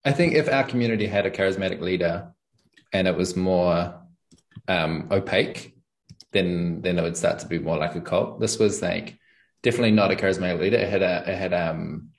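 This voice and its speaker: A young man, talking casually and thoughtfully as an interview guest, often pausing with ums and small laughs. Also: low-pitched. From New Zealand. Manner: thoughtful, searching for words, frequent ums and laughs. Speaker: podcast guest and channel host.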